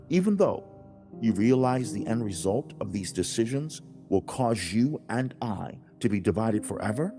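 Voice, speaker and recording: A man playing God as a stern, loving, earnest father in a dramatized religious audiobook, speaking emphatically and deliberately with dramatic pauses. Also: mid-range voice, warm tone. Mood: pleading, indignant. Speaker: audiobook narrator.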